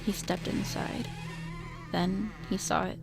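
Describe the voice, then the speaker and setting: A woman's voice: on the low side, fairly even intonation, slow pace, vocal fry, loud music behind the voice. A woman reading scripted narration for a radio drama.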